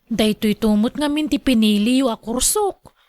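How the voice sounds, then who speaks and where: A woman's voice: on the high side, moderate pace, darkish tone, no echo, studio quality, close to an everyday voice. A woman playing an obedient young student daughter with an Ilocano accent in a radio drama, speaking deferentially. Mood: dutiful.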